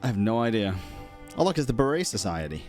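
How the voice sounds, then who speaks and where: A man's voice: medium pitch, very animated, quick pace, darkish tone, faint music behind. A man streaming a game, reacting casually with blunt, sweary, offhand quips. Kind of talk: casual live gameplay reaction.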